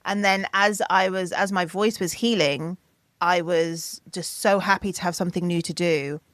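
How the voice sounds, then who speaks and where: A woman's voice: lowish voice, normal speed, dry recording. A woman in her thirties, a singer and producer, telling stories animatedly in a podcast interview and acting out what others said. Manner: animated storytelling, acting out quotes in a raised voice.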